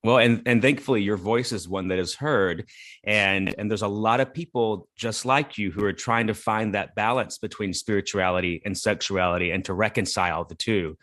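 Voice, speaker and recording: A man with a somewhat low voice hosts an interview podcast. He asks questions in a friendly, earnest way and fills his pauses with soft ums. His delivery is relaxed and conversational. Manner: friendly, earnest, many fillers. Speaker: podcast host.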